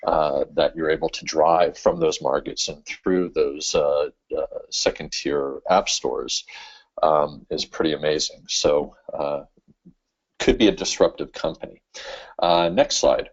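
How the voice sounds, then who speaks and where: A man in his fifties talks through his slides in a very low voice, pausing on fillers and restarting his sentences. The webinar audio sounds dull and lo-fi, as if taken from a computer microphone. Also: gravelly, breathy edge. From San Francisco, California. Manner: halting, many fillers and restarts. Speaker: webinar speaker, games executive. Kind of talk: casual presentation with slides.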